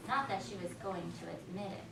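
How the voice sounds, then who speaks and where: A woman's voice: medium pitch, gravelly. Lady teacher reading a story to kids, chatty asides, far from mic, noisy echoey room. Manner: pauses to question listeners and echo their answers. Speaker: teacher reading aloud to second graders. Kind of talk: read-aloud storytelling with chatty asides to children. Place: echoey classroom, recorded from a distance.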